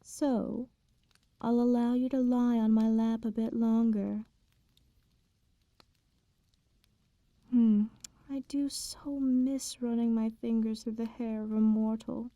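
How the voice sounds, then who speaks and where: A woman playing an immortal vampire in an ASMR roleplay, speaking softly and formally, with condescending tenderness and quiet menace. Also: fairly high pitch, little pitch movement, no room sound, highs cut off. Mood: teasing, indulgent. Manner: formal archaic diction, unhurried, teasing. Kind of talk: slow ASMR roleplay, intimate bedtime storytelling.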